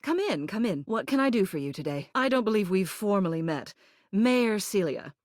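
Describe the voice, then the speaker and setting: A woman's voice: medium pitch, big pitch swings, normal speed, darkish tone, dry recording, studio quality, close to an everyday voice. A woman voicing a gracious, commanding older lady mayor in a video game, with a genteel, theatrical manner and fond, polished phrasing. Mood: gracious, brisk. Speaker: mayor and homeowners' association president. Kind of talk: scripted video game character dialogue.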